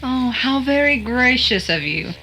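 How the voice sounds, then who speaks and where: A sarcastic, bossy young student played by a woman, blunt and dry. Her mid-range voice is crisp and bright, with light music behind this audio drama dialogue. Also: sits high, expressive, unhurried, full character voice, rough recording.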